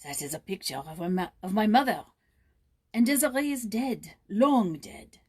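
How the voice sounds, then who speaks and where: Woman voicing an agitated, husky old man. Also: medium pitch, expressive, moderate pace, darkish tone. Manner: intense, abrupt, vehement. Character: agitated, bitter, shaggy-browed old man.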